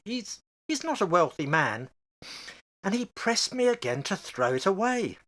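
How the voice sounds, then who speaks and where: A man's voice: high voice, big pitch swings, measured pace, a bit bright, exaggerated voice. A man acting in a radio play as a genial, curious, stubborn father with a hesitant, good-humoured manner. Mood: musing, amused.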